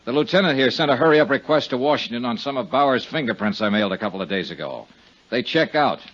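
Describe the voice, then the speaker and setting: A man's voice: mid-range voice, animated, quick pace, fairly bright tone. A man playing a tough, terse American insurance investigator in a 1950s radio detective drama. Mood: touchy, firm. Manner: terse, matter-of-fact. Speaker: actor playing lead investigator and narrator. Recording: muffled 1950s radio recording with music.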